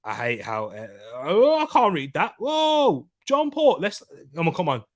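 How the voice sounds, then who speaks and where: Chatty dude hosting a footy stream, laid-back jokey banter, reads chat out loud. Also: male voice, high voice, wildly swooping pitch, measured pace. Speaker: football preview show host. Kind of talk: casual live-stream chat.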